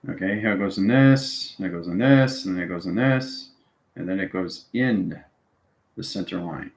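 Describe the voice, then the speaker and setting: Male online instructor, lowish pitch, informal and repetitive, keeps checking in with listeners; roomy, echoing sound. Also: animated, normal speed. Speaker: online teacher of a spiritual live stream. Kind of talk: informal live lesson to viewers.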